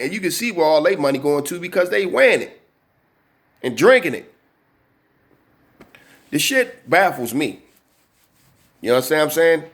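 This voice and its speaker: A man's voice: a little high, fairly bright tone. A man in his early forties hosting his own channel, talking casually at his desk, with heavy slang, a lot of cursing and constant "you know what I'm saying". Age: 40s. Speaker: channel host. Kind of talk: casual vlog talk to camera.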